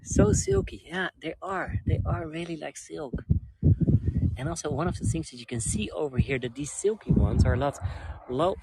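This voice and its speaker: A man's voice: fairly high pitch, sing-song, moderate pace, creaky, low-quality recording. A man with a Dutch accent giving an enthusiastic, chatty live tour of an outdoor flower garden, answering viewer comments as he films with a handheld gimbal. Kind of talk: live-streamed casual tour chat. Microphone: camera on a handheld gimbal. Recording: loud background noise outdoors.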